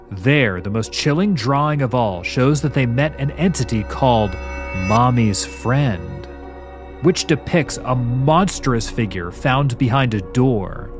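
A man narrating a scripted horror mystery in an ominous, dramatic storytelling manner. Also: medium pitch. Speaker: host and narrator. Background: background music.

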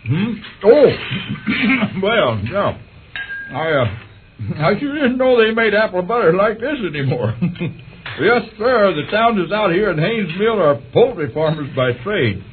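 An actor playing a folksy, gluttonous country radio host in an old radio drama. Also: male voice, high-pitched, big pitch swings, slow pace, full character voice. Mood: delighted. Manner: folksy, hammy, throat-clearing. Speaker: radio show host character. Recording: phone-like old radio recording, hiss.

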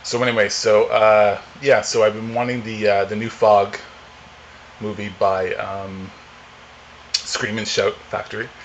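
A man's voice: fairly low pitch, flat delivery, unhurried, muffled recording, slight background noise. A man in his forties, chatting casually and rambling with many fillers while unboxing at home. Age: 40s. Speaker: vlogger. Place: home living room, indoors.

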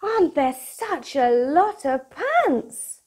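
A British woman in her thirties presenting for young children in a playful, expressive, sing-song manner, as if hosting a picture-book club. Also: sits high, takes it slowly. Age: 30s. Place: small room at home, indoors. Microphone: lapel mic.

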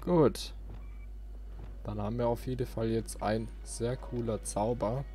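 Mellow dark-voiced guy doing casual let's play talk, thinking out loud, game music behind. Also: mid-range voice, fairly even intonation, a little slow. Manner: rambling, thinking aloud. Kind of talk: casual gameplay commentary.

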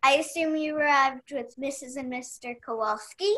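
A young woman playing a brisk, bossy investigator in a mystery radio play, formal and officious. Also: high voice, sharp tone. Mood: stern. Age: young adult. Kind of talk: stage-style mystery radio play acting.

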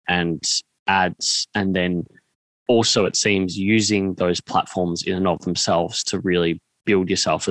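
A man's voice: sits low, dry recording. An Australian man in his thirties hosting a podcast, asking roundabout, hesitant questions in a casual conversational way into a close studio mic. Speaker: podcast host, interviewer. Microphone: close studio mic on boom arm, headphones.

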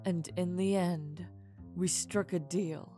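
A woman's voice: lowish voice, steady tone, slow, dark tone. A woman voicing a remorseful mad scientist mother in a dramatic comic dub, speaking formally and theatrically. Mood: grave, explaining. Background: faint music.

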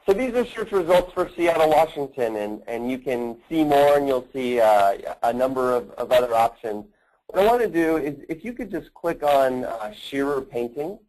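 A man presents a product walkthrough as a company representative on a conference call. His pitch is average, and he often pauses partway through a phrase. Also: expressive, a little mellow, rough recording.

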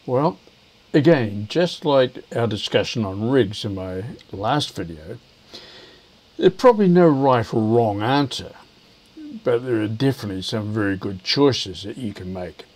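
An older man, explaining things to camera in a measured, hesitant way. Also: on the low side, lively intonation, darkish tone. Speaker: video presenter, ham radio operator.

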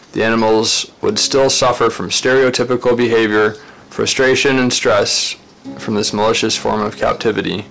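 A man working as an animal rights activist reads a scripted voice-over, earnest and measured, laying out his points in short listed phrases. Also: medium pitch, fairly bright tone, low-quality recording. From Ontario, Canada. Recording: voice-over with faint music behind. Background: faint guitar music.

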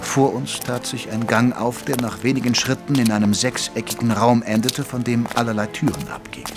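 An actor playing a detective's gentlemanly doctor companion, telling the story in wry, literate, dramatic narration. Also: male voice, mid-range voice, moderate pace, music in the background, close to an everyday voice. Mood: wry, suspenseful narration.

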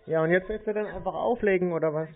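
Deadpan man on a tinny phone. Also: sits high. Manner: stubborn, deadpan, slow to cooperate. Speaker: prank call host, scambaiter. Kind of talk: casual phone chat. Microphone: phone call. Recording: thin, narrow telephone line.

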